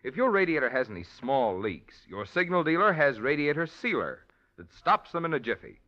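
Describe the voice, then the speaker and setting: Male announcer, polished sales read, lively inflection, muffled old radio. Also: on the high side, normal speed. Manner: polished, persuasive commercial delivery. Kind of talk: sponsor announcement.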